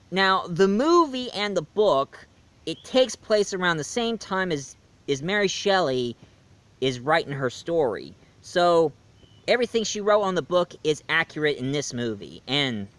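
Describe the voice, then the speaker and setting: A man's voice: on the high side, a bit bright, smooth. A man giving a casual spoken film review, chatty and jokey as he retells the plot.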